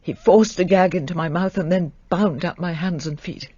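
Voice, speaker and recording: A restrained French widow. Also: female voice, lowish voice, steady tone, normal speed, dark tone, slightly rough, dry recording, muffled recording. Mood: subdued. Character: grieving French widow, middle-aged, composed. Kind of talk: radio drama acting.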